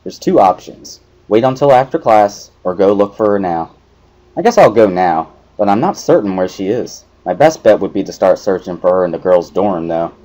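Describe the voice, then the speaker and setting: A man narrating a first-person visual novel story as an expressive dramatic reading. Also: sits low, moderate pace, low-quality recording.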